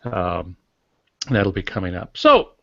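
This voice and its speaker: Low-voiced man in his sixties, dull audio. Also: wildly swooping pitch, slow pace, gravelly, pushed and bright, dry recording. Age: 60s. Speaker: podcast co-host. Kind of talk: informal guest introduction.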